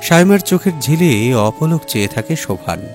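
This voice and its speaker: A man narrating a literary story as an audiobook, in an expressive reading style. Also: mid-range voice, moderate pace. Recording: music under the voice. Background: background music.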